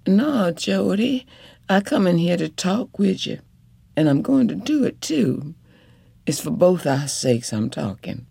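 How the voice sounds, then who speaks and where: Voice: mid-range voice, big pitch swings, a little slow, vocal fry, warm tone, breathy edge, no room sound, pitched above the performer's own voice, heavily acted. A reader playing a young wife, raised voice, defiant, earnest, dry sound. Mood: pleading. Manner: earnest, rising to fierce reproach. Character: young rural wife, hurt but defiant, pleading.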